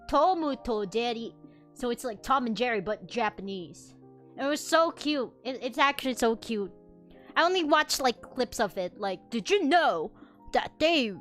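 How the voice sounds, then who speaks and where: Brief: young female streamer, high bright voice, lively mock outrage, soft music underneath. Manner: animated, exaggerated outrage, many restarts. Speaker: VTuber streamer. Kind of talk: casual chat while drawing, reading chat.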